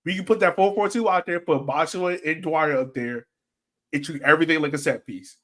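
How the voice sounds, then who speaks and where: A man co-hosting a podcast, thinking out loud in colloquial, animated soccer banter. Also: fairly high pitch, a little fast. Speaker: podcast co-host.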